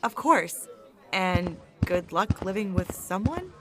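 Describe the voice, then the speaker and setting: A woman's voice: lowish voice, sing-song, takes it slowly, crowd noise, background noise. A woman playing a friendly hospital worker at the end of her shift in an audio drama, chatting casually and politely.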